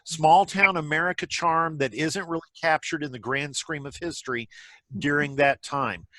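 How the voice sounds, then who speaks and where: A man's voice: fairly high pitch, normal speed, pushed and bright, dry recording, rough recording. A man casually moderating a group discussion on a video call and reading out comments.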